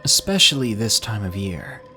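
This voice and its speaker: A man voicing a solemn young male university student who speaks quietly and gravely in a storybook narration. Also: lowish voice, sing-song, normal speed, faint music behind, exaggerated voice.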